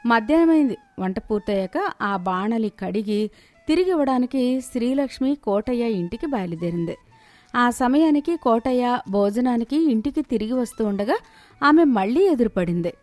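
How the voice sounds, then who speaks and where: A woman's voice: a little high, expressive, normal speed, darkish tone. A woman narrating a scripted moral story as its storyteller.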